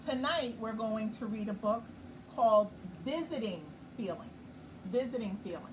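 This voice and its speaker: A woman hosting a nightly children's story time, reading a picture book slowly and gently and pausing to explain it. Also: a little high, animated. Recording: far from the mic with clear background noise.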